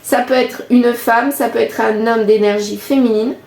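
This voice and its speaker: A woman's voice: a little high, steady tone, normal speed, creaky, a little mellow. Chatty woman in her fifties. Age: 50s. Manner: chatty, rambling, with self-corrections. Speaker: card reader. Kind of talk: casual tarot reading monologue. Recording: echoey room.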